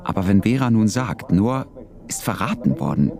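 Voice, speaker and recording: An actor playing a dry, brooding wartime spymaster in a German radio drama, musing to himself. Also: male voice, on the low side, big pitch swings, moderate pace, creaky.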